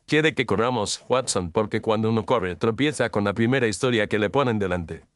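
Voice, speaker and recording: A man playing a calm, incisive detective in an audio drama, speaking in a measured, analytical way. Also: lowish voice, dry recording, close to an everyday voice. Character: calm, incisive male detective, precise reasoner.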